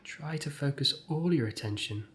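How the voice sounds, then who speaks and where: A man's voice: on the high side, a little hiss or hum. Man guiding a mindfulness meditation. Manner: measured, gentle pauses between short phrases. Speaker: mindfulness exercise guide. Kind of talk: guided meditation, scripted instruction.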